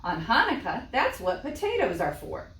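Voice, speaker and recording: A woman's voice: medium pitch, huge pitch swings, normal speed, a bit bright. A woman voicing a self-assured village rabbi who makes emphatic pronouncements in a children's storybook read-aloud. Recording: echoey room, distant mic.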